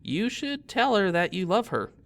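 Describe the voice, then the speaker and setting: A man's voice: sits high, big pitch swings, normal speed, fairly bright tone, pitched above the performer's own voice, heavily acted. Man voicing an outspoken, eager young girl. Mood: frank, eager. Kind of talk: storybook read-aloud.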